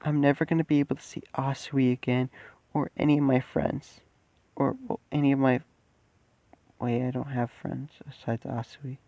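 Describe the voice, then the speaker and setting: A man's voice: on the high side, steady tone, moderate pace, no room sound, lo-fi sound, natural voice. A man voicing a frightened, distraught teenage boy, pleading and repeating himself in a muted way. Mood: despairing.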